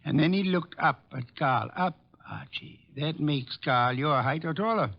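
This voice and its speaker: A dull, lo-fi radio recording of a brilliant master detective. His gravelly voice sits fairly high, and he hands out orders in deliberate, exacting phrases, stubborn and fully in command. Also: expressive, exaggerated voice. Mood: exacting, patient. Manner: deliberate, authoritative, precise phrasing. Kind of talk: old-time radio drama acting.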